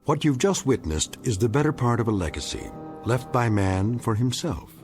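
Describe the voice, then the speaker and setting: An actor playing a grave anthology narrator, measured and portentous in scripted dramatic narration. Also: male voice, mid-range voice, sing-song, darkish tone. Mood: solemn, ominous. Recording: music under the narration.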